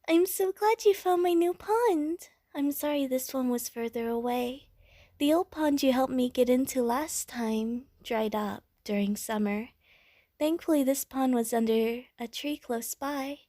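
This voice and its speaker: A woman's voice: sits high, mellow, dry recording, studio quality. A woman voicing a friendly, bubbly, naive female water slime who rambles chattily to the listener in an audio roleplay. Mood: happy, excited.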